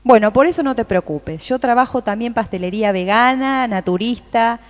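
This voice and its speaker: A woman playing a cheerful, dedicated home baker in a radio play, chatty and expressive, with an Argentine Rioplatense accent. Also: fairly high pitch, moderate pace, lightly acted. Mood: friendly, helpful. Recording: very echoey room with long reverb.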